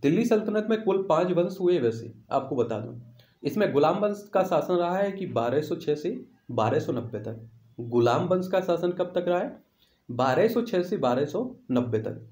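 Higher-voiced male quiz teacher in echoey room. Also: animated, normal speed, warm tone. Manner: repeats questions and answers for emphasis. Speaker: teacher presenting exam quiz questions. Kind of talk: instructional quiz walkthrough.

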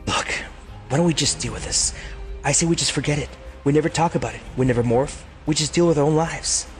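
A man's voice: fairly high pitch, fairly quick, faint music behind, close to an everyday voice. A man playing a sarcastic, anxious teenage boy in a fan audio drama, with an emphatic delivery. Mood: urgent.

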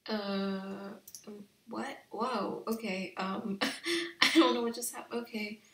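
A young woman giving casual, slangy let's play commentary on a video game in an excitable, gushing way. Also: mid-range voice, takes it slowly, reverberant, recorded from a distance. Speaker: video game streamer and channel host.

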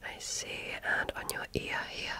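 Slow whispering woman in ASMR role-play. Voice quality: soft breathy whisper. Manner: gentle, measured, attentive. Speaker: ASMR performer playing a medical examiner.

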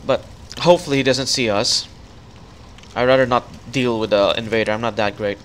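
Bright-voiced man guiding a co-op game. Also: mid-range voice, fairly even intonation, brisk, a little hiss or hum. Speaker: channel host playing co-op. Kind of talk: casual gaming chat.

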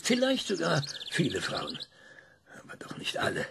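A man's voice: fairly high pitch, wildly swooping pitch, normal speed, gravelly, whispered, exaggerated voice. An actor in a radio play, playing an eccentric, cranky older man being interviewed, who hesitates often and lectures. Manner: hesitant, many ums, lecturing.

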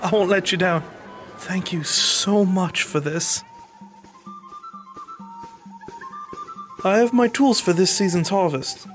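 A man's voice: high voice, unhurried, fairly bright tone, soft background music. A man playing an earnest, humble medieval peasant farmer in an audio drama, with a pleading, hesitant manner. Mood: relieved. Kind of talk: audio drama acting and narration.

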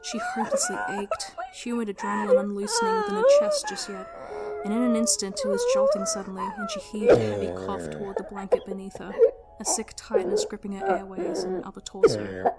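A woman's voice: very high-pitched, wildly swooping pitch, a little fast, few pauses. A woman narrating a story as a dramatic reading, in an emotive, intense manner. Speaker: narrator and writer. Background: prominent music.

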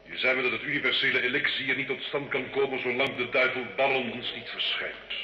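A man's voice: mid-range voice, flat delivery, fairly quick, close to an everyday voice. A male actor playing a proud, volatile nobleman who dabbles in devil worship, with haughty, theatrical, formal delivery in an old radio drama. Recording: dull, hissy old radio recording, roomy.

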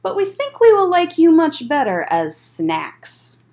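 A woman's voice: very high-pitched, wildly swooping pitch, measured pace, a little mellow, voice pushed up, heavily acted. Woman as a sly, showy big spider villain. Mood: gloating.

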